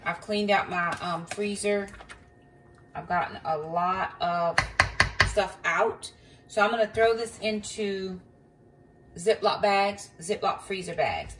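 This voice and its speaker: A woman's voice: mid-range voice, slow. Mom doing a casual cooking video in her kitchen, talks step by step with ums, a bit far from the phone mic. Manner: chatty, explaining step by step with ums. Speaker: mom vlogger. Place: home kitchen counter indoors. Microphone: phone camera pointed down at the counter. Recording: voice a bit far from the mic, faint background noise.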